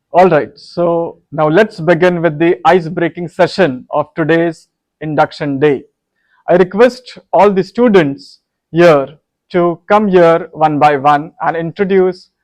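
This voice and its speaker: A man's voice: a little high, a little mellow, no room sound, close to an everyday voice, rough recording. A man playing a college dean who gives a formal, solemn welcome speech to new students.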